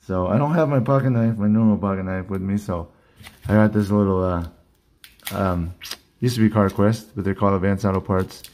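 A man talks loosely through an unboxing at his desk, restarting sentences as he goes. His voice sits a little low and is worn, as if he is losing it. He sounds like a hobby channel host chatting to his viewers. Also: a little fast, darkish tone. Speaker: card collecting channel host. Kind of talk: casual unboxing vlog. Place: indoor collection room at a desk.

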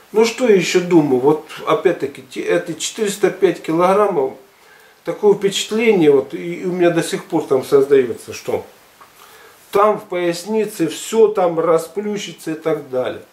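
A man in his sixties talking casually to camera in a halting, emphatic, colloquial way. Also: high voice, darkish tone. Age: 60s. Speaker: health and fitness vlogger. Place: furnished living room at home, indoors. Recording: echoey room, camera at a distance.

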